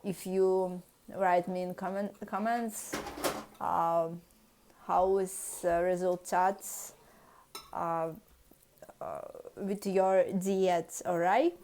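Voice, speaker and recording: Slow, halting Russian-accented woman vlogger. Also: lowish voice, steady tone, darkish tone, a little hiss or hum. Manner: hesitant, searching for words, many fillers. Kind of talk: halting casual talk in a second language.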